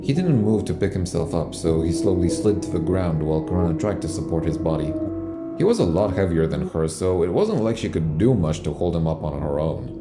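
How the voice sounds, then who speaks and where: A man's voice: low-pitched, expressive, fairly quick. A man reading scripted narration for a tense science-fiction audio drama. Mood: narrating. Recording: loud music behind the voice. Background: loud dramatic music.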